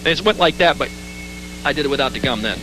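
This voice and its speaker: Male radio DJ, machine-gun fast patter, sharp and high, music underneath. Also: animated, poor audio. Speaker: radio disc jockey. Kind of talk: rapid-fire radio patter.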